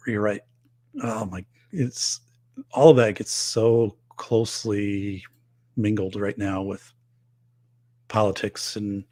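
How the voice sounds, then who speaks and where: A man's voice: on the low side, dark tone, husky, no echo, studio quality. A middle-aged man hosting a live show, chatting casually and rambling with many fillers and restarts, losing his voice. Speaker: livestream host reading viewer comments.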